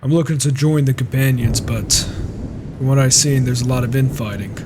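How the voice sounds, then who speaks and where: A man's voice: medium pitch, fairly quick, lightly acted. Sardonic wandering warrior roleplayed by a man. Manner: casual, sardonic. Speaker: roleplaying gamer. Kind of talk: in-character game roleplay. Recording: faint noise, flawed microphone.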